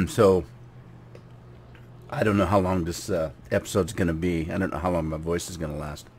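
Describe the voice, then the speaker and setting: A man with a cold and a gravelly, hoarse voice, casually commenting on his farming game while often clearing his throat. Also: sits low, normal speed, a little hiss or hum. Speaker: gaming channel host.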